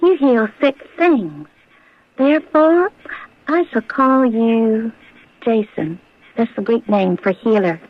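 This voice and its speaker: A woman's voice: fairly high pitch, lively intonation, slow pace. Friendly curious american lady who runs a roadside garage, teasing, very up-and-down voice, dull radio drama sound. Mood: warmly playful. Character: friendly, curious, bookish woman running a desert roadside garage. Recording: dull old radio recording.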